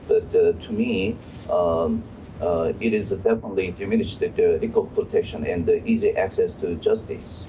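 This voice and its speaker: On slightly noisy, dull audio, a man with a Korean accent and a very low voice speaks as an expert guest. His delivery is halting and hesitant as he searches for words. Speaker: law professor, guest expert. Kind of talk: live expert interview.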